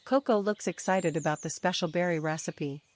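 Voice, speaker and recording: Lowish-voiced woman narrating a bedtime story. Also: normal speed. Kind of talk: scripted children's story narration. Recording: faint birdsong and nature ambience. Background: birdsong, crickets, forest ambience.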